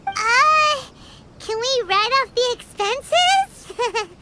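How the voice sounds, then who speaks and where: A woman voicing a tiny, bossy, excitable childlike fairy guide in a video game, with a squeaky voice. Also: drawn-out words, slight background noise, full character voice. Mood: confident. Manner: brash, speaks of herself in third person. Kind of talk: animated game character acting. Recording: music behind the voice.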